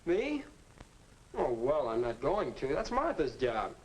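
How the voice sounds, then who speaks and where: A man's voice: medium pitch, wildly swooping pitch, slow pace, a little mellow, husky, full character voice. A young man playing an awkward, grumbling teenage boy in a 1950s educational film. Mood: grudging. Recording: noisy old 1950s film soundtrack.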